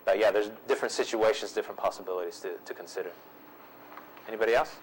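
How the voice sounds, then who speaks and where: A man's voice: fairly low pitch, quick pace, a little hiss or hum, rough recording. A man presenting a workshop and answering audience questions in an easygoing way, repeating himself with frequent ums. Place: seminar room.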